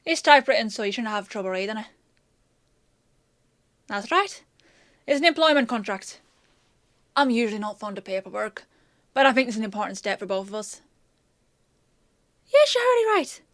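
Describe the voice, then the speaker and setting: A woman playing a flustered, gruff but well-meaning fantasy apothecary in a scripted audio roleplay, with a hesitant, emotive, conversational delivery. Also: a little high, animated, normal speed, long pauses, fairly bright tone, no echo, studio quality. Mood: brisk, businesslike.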